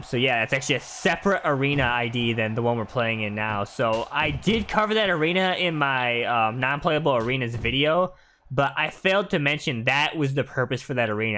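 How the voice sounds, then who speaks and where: Casting: male gameplay commentator, informal and explanatory, average pitch, noisy background. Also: sharp tone. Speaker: video game streamer, narrator of playthrough. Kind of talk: casual commentary over gameplay cutscenes.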